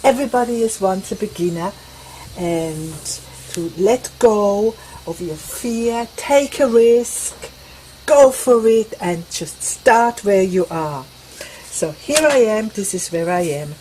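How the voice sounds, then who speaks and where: A woman's voice: mid-range voice, lively intonation, takes it slowly, dark tone, a little hiss or hum, poor audio. A woman from Switzerland with a Swiss accent, chatting unhurriedly through her craft papers on an outdoor veranda and listing items one by one. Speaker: crafting vlogger. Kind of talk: casual craft show-and-tell. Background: light breeze.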